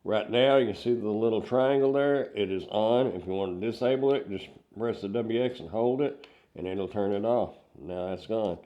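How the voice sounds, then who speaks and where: A man's voice: on the low side, normal speed, mellow, muffled recording. An older man giving a casual hands-on product review, explaining things step by step in plain words.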